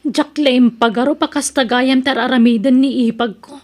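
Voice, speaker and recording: A woman acts a meddling big sister in a family melodrama. She has a high voice. She talks in a chatty but pointed way, never shy with her opinion. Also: steady tone, moderate pace, a little mellow, natural voice. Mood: gossipy, indignant. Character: outspoken older sister, meddling in her brother's marriage. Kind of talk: radio-style melodrama acting.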